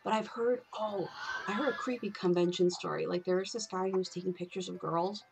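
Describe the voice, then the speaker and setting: A woman's voice: fairly low pitch, fairly even intonation, fairly quick, gravelly, background noise. A woman chatting casually and conversationally, with informal, sweary phrasing. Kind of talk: casual chat. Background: music.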